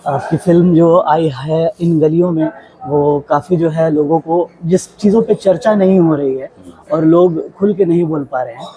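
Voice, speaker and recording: A male interviewer with a mellow, fairly high voice. He puts his questions politely and at length, wandering a little as he builds them. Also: normal speed, rough recording. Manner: long, meandering, polite questions. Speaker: interviewer, journalist.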